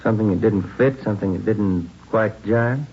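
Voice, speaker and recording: A muffled old radio recording with faint noise has a man acting as a police detective. He has a fairly low voice and a sober, probing, matter-of-fact way of talking. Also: normal speed, close to an everyday voice. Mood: serious probing.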